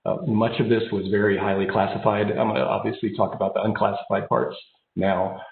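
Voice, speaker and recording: Low-voiced American man with a cold, phone-like sound. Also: level tone, brisk, gravelly. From born in Japan, raised overseas, then United States. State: slight head cold. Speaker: keynote speaker, engineering executive. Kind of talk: remote keynote speech, personal and informal. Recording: phone-like narrow band, roomy echo.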